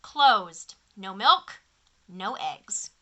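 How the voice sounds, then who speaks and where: A woman voicing polite but stubborn farm cows in a storybook, delivered deliberately like a letter being read out. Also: medium pitch, big pitch swings, bright, forceful tone, full character voice. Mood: firm.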